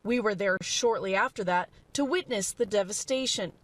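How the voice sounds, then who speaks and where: A woman's voice: mid-range voice, normal speed. A woman with an American accent narrating a scripted television news report on a noisy recording.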